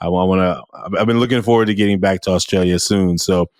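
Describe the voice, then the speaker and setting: A man hosting an interview podcast, talking in a loud, animated way with frequent restarts. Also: deep voice, fast, clear, resonant tone, no room sound, studio quality. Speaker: podcast host. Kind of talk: casual interview chat.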